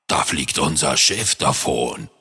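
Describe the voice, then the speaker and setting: A man's voice: rumbling low, takes it slowly, slightly rough, exaggerated voice. A man reading a children's storybook, voicing a hot-tempered pirate captain who rants and fumes. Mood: furious. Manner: excitable, hopping mad. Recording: long reverb.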